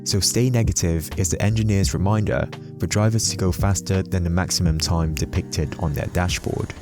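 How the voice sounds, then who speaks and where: A man's voice: low-pitched, steady tone, moderate pace, over music. A man giving a scripted explainer narration as the host of a video.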